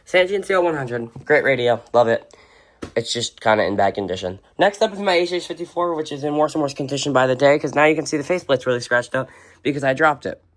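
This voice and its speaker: A video host giving a casual, unscripted show-and-tell indoors in an informal, halting way. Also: mid-range voice, animated, a little hiss or hum. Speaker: video host and weather radio collector. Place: indoors, in a room with shelves of radios.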